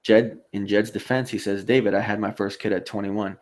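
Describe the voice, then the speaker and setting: A man hosting a casual livestream, reading out chat comments and replying in a rambling, halting way. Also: on the low side, level tone. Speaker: live stream host.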